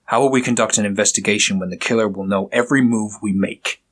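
A man's voice: fairly low pitch, steady tone, fast talker, lightly acted. A man doing a curt, haughty police inspector. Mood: stern. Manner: curt, commanding, condescending. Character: stern, dismissive police inspector, middle-aged man.

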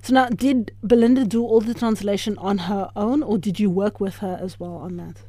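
A woman hosts a radio show in a mellow, warm voice, asking measured, friendly questions. The studio sound is dry and close. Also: mid-range voice, steady tone, dry recording. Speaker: radio host. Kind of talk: radio interview.

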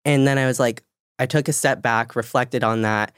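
A young woman with a low voice and a slight lisp, co-hosting a podcast in a candid, self-deprecating way and laughing often. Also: steady tone, moderate pace, clear, resonant tone, no room sound, studio quality. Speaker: podcast co-host. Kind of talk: casual podcast chat.

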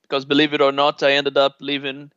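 A man from Brazil with a Brazilian Portuguese accent, a native Portuguese speaker chatting relaxed in an interview, laughing often and filling pauses with uhs. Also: medium pitch, clear, resonant tone, no echo. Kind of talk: casual conversational interview. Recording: dull lo-fi dry sound.

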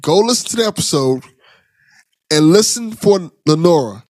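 Lively, encouraging male podcast host. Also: on the high side, moderate pace, no room sound. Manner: effusive, encouraging. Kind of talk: casual interview chat.

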